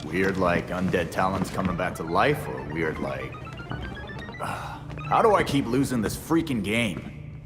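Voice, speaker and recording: A man's voice: low voice, expressive, measured pace. A man playing a cynical, blunt, wisecracking vigilante in a video game cutscene. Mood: sardonic, wary. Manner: sardonic. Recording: very loud music behind.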